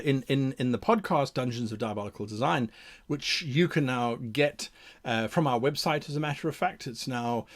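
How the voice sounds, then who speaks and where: A man hosting an advice show in a casual, enthusiastic explaining style, with theatrical emphasis. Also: on the high side. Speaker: host of a game mastering advice show.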